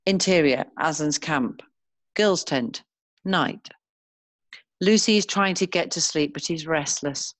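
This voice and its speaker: Low-voiced, slow, measured female narrator. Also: no room sound. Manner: measured reading of stage directions. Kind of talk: scripted screenplay narration.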